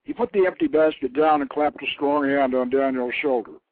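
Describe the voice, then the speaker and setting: A man's voice: medium pitch, brisk, dry recording. Man narrating over a thin phone line. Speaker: host reading his own novelette. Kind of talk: scripted story narration.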